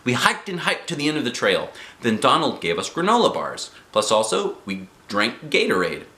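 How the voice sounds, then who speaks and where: A man's voice: medium pitch, huge pitch swings, moderate pace, bright, forceful tone, reverberant. A man reading a children's book aloud as a dad would, with playful, theatrical expression. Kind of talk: animated storybook narration.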